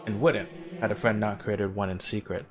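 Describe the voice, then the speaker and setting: An actor playing the courteous, wistful spirit of an ancient man in a video game cutscene, speaking in formal, measured, gently wry phrases. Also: on the low side, little pitch movement, natural voice. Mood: quietly confiding. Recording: game dialogue with music underneath. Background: background music.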